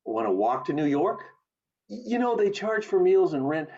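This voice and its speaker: Muffled call audio carries a kindly, plain-spoken small-town man from a radio play, acted by a man. His voice is husky and folksy, with very animated pitch. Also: moderate pace. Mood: warm concern. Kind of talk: community theatre radio play acting. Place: home, over video call.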